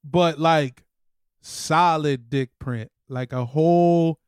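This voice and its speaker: A man's voice: a little high, slow, a little mellow, smooth, no room sound, studio quality. A man co-hosting a podcast with casual, irreverent comic banter full of slang and swearing. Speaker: podcast co-host.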